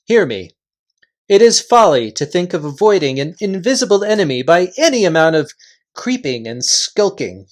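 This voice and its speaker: A storybook reader voicing a gallant, fierce little talking mouse who speaks in a formal, courtly, deliberate manner. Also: medium pitch, big pitch swings, lightly acted. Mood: proud, defiant.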